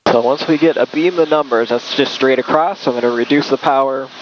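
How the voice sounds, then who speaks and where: A man's voice: medium pitch, fairly quick. A man speaking as a pilot in a small plane, explaining things casually step by step and making radio calls over a headset. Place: small plane cockpit in flight. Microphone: aviation headset. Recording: dull aircraft headset audio, loud engine noise.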